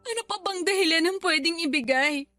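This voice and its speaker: Very high-pitched, tearful grieving wife. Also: female voice, fairly quick, bright, forceful tone. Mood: anguished. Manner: tearful, pleading delivery. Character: Alma, grieving, self-blaming wife who lost her babies. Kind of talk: radio drama acting. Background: music.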